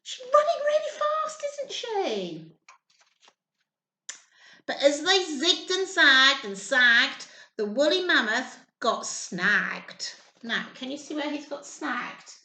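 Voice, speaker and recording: A woman reading a story aloud to small children in an expressive, encouraging way, often asking questions. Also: high-pitched, takes it slowly. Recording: roomy echo, faint background noise.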